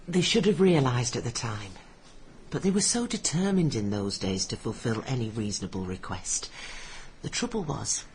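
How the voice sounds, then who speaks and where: A woman acting a brisk, matter-of-fact social worker in a conversational radio play scene. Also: low-pitched, darkish tone, a little hiss or hum.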